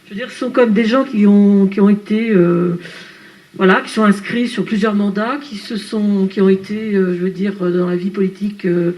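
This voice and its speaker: Halting woman questioning at a council meeting. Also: mid-range voice, fairly even intonation, a little mellow, rough recording. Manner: hesitant, halting, politely critical. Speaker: council member questioning a proposal. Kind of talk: unscripted debate remarks.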